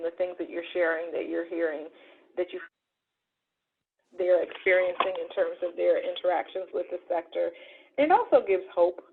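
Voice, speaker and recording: Moderator thanking the guest, sounds like over a phone, lots of ums. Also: medium pitch. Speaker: webinar moderator. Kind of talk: moderating a webinar. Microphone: video call. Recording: tinny phone-like call audio.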